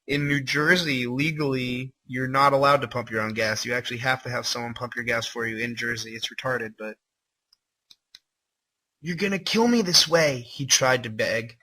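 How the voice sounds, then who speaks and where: A man with a husky, bright and forceful voice reads fiction aloud on a livestream. His delivery is comic and dramatic, with an irreverent, incredulous streak. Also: mid-range voice, poor audio. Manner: irreverent, incredulous, swearing asides. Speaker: reader and livestream host. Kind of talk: comic dramatic reading with off-the-cuff commentary.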